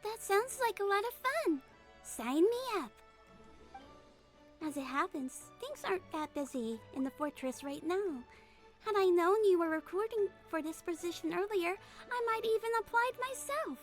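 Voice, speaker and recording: A tiny, girlish game nurse speaks in an extremely high voice. She is gentle, earnest and polite, choosing her words in a measured way over background music. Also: animated. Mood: pleased, polite. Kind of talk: game fan dub dialogue. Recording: music behind voice.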